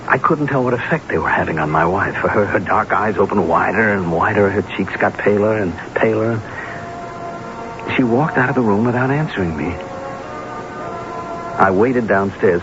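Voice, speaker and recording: An actor playing an earnest, nervous husband in a radio drama, speaking and narrating in a hesitant, halting way. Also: male voice, on the low side, background noise, close to an everyday voice. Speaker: actor and first-person narrator. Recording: muffled vintage radio broadcast, music behind.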